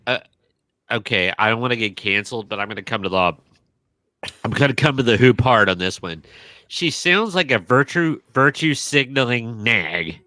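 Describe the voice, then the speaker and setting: A man's voice: fairly low pitch, pushed and bright, no room sound. A man co-hosting a podcast, cracking jokes in casual chat. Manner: joking quips, laughs often. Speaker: podcast co-host.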